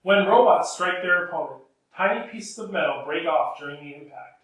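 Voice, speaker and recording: A man reads a children's book aloud in a workshop. His voice is a bit high, far from the microphone, with heavy echo all round. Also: animated, normal speed, a bit bright. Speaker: robot combat team member. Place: robot workshop, indoors. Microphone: distant camera. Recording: very echoey workshop, distant mic.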